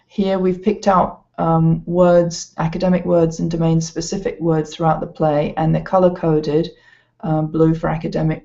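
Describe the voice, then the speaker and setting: A woman's voice: deep voice, vocal fry, warm tone. A woman giving an informal, hesitant walkthrough as an educational presenter. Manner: hesitant, halting delivery. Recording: slightly dull, lo-fi sound.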